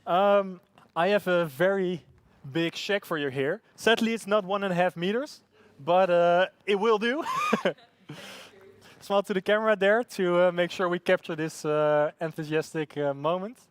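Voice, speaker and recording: A man's voice: high voice, measured pace, clean tone, dry recording. A man hosting a live event, upbeat and hesitant, with many fillers. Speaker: event host.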